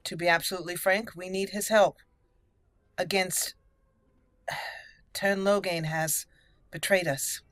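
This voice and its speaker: A woman's voice: fairly low pitch, steady tone, slow pace, long pauses, a bit bright, no echo. A woman giving casual commentary on a fantasy video game and reading dialogue choices aloud in character. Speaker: let's play host.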